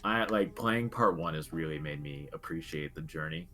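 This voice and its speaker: A young man with a very low, deep voice speaks as a podcast co-host. His delivery is casual, earnest and reflective, and music plays underneath him. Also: normal speed, pushed and bright. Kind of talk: casual video game discussion.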